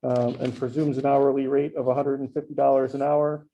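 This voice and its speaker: A man's voice: mid-range voice, flat delivery, fairly quick, mellow, dull audio, slight background noise. A man speaking as a town official in a public meeting, summing up documents. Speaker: town official at a bid opening.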